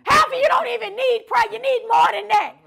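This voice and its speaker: A woman playing a loud, confrontational, foul-mouthed churchgoing wife in a comedy sketch. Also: very high-pitched, fairly quick, creaky, exaggerated voice. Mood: enraged, shouting. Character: furious foul-mouthed churchgoing wife exposing everyone's secrets. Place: furnished living room at home.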